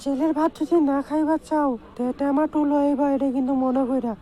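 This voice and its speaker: A woman's voice: high voice, steady tone, fairly quick, mellow, faint music behind, lightly acted. A woman playing a bossy, hot-tempered village wife in a comic cartoon, scolding with a Bangladeshi Bengali accent. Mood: angry, threatening. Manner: scolding, demanding.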